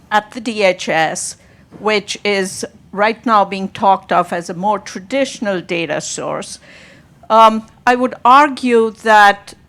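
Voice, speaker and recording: Slow, measured middle-aged woman panelist. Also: medium pitch, a bit bright. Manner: measured, thoughtful, with fillers. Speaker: panelist, survey program director. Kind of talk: panel discussion answer.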